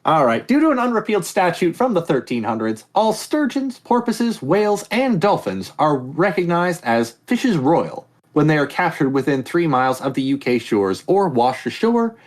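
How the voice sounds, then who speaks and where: A man's voice: a little high, sing-song, normal speed, few pauses. A man hosting a casual comedy podcast quiz, reading statements aloud and joking off on tangents. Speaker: quiz host.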